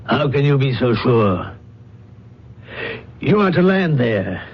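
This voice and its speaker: An actor playing a cool, calculating controller of an alien planet in a radio drama, speaking in a measured, insinuating way. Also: male voice, medium pitch, big pitch swings, slightly rough, full character voice. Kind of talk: old-time radio drama acting. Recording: dull, muffled radio drama recording.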